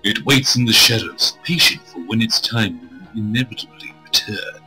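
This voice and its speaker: Bright, husky male game streamer. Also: lowish voice, normal speed, low-quality recording. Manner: crude, irreverent joking. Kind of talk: reading visual novel aloud with joking asides. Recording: music playing behind the voice.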